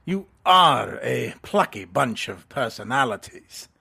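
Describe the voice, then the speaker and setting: A creaky, forceful hitman for an audio drama. He takes his time and taunts with dry wit, his pitch moving freely while he stays coolly menacing. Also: sing-song, full character voice. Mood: taunting. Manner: deliberate, wry, taunting. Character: unpredictable male international assassin with lucky dice, coolly menacing.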